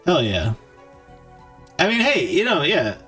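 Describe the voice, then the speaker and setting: A man chatting casually on a live stream while he codes, thinking aloud in a halting, informal, self-deprecating way. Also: on the high side, huge pitch swings, sharp tone, slightly rough, soft background music. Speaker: game developer streamer.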